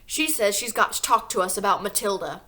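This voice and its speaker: A woman voicing a rude, impatient little man who is the girl's father, speaking gruffly and loudly. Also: mid-range voice, brisk, natural voice. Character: rude male father, small ratty man.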